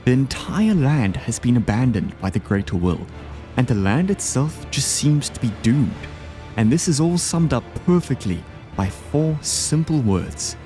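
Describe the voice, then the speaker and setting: A man's voice: medium pitch, very animated, measured pace, mellow. Man doing video commentary over music. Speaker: video creator ranking game bosses. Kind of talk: scripted video essay commentary. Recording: game music behind the voice.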